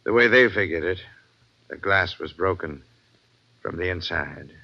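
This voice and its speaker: A dull old radio recording of a stern sea captain. His low voice is gruff and terse, wary and faintly ominous in classic radio drama style. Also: measured pace. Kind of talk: 1940s radio drama acting.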